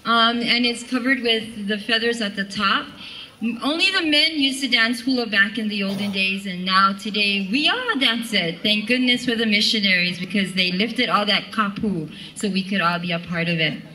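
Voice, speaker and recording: A woman's voice: medium pitch, moderate pace, sharp tone, a little hiss or hum, rough recording. A middle-aged woman emceeing a hula show on an outdoor stage, chatty and coaxing, directing children as she explains the dances. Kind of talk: live stage emcee with singing. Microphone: stand mic on stage, camera out in the audience.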